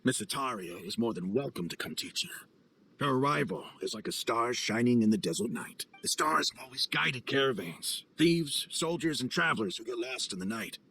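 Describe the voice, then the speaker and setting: An actor playing a dignified, heartfelt desert mercenary leader in a video game, speaking in a solemn, measured way. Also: male voice, medium pitch, animated, vocal fry, bright, forceful tone, a little hiss or hum. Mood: solemn, admiring. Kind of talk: video game cutscene voice acting. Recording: faint music behind voice.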